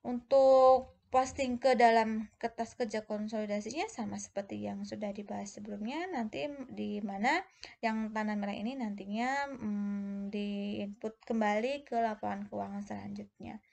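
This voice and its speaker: A woman's voice: mid-range voice, fairly even intonation, normal speed, creaky, a little mellow. A woman explains step by step as a tutor in an informal lesson, often posing questions and adding conversational tags. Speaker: accounting tutor presenting a video lesson.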